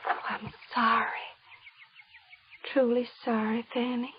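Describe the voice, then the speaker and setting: High-voiced, pleading young washerwoman. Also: little pitch movement, slow pace, a little hiss or hum. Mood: gentle, sorry. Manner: soft-hearted, pleading, earnest. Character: gentle, pregnant young washerwoman, pleading and earnest. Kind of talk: old-time radio drama acting. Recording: dull, lo-fi old radio recording.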